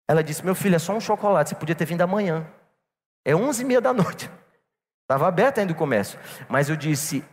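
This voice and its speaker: A man preaching a sermon to a church congregation, telling a personal story and calling them brothers. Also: high-pitched. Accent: non-local Brazilian. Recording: long reverb in a church hall.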